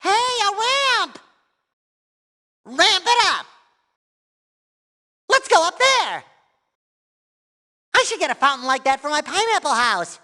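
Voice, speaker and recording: A man voicing an excitable, childlike, cheery cartoon sea sponge for a video game, in a squeaky, nasal, exclamatory delivery. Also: unhurried, pauses often, exaggerated voice. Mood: excited. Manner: exuberant, exclamatory, playfully earnest.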